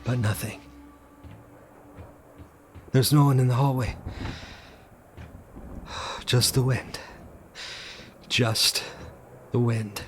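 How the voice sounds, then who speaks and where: An actor playing a haunted, anxious guest in a supernatural audio drama, speaking in halting, short phrases with a dramatic score behind him. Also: male voice, mid-range voice, noisy background, lightly acted. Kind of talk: first-person audio drama narration with dialogue.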